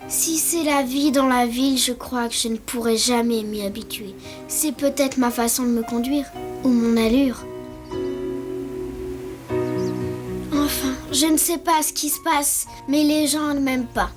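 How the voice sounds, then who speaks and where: High childlike voice, naive young elephant played by an actor, cartoon dialogue, earnest, polite, loud music underneath. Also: steady tone, normal speed, close to an everyday voice. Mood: dejected. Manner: earnest, innocent, wondering.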